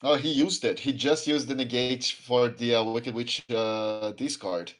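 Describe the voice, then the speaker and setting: A man's voice: medium pitch, fairly even intonation, a little slow. A man giving live color commentary on a card game match, chatty and agreeable. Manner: chatty, agreeable, frequent fillers.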